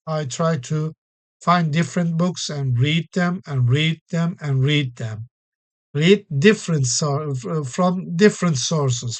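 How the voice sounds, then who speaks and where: Slow, patient male English teacher. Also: fairly high pitch. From Turkey. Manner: patient explanations with many everyday examples and frequent fillers. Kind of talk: recorded classroom-style English lesson.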